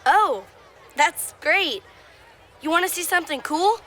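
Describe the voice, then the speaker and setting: A woman's voice: extremely high pitch, expressive, a little slow, pushed and bright, a faint crowd, slight background noise, exaggerated voice. A woman playing an easygoing, big-buddy time traveler in a children's Christmas puppet show. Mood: breezy, kindly.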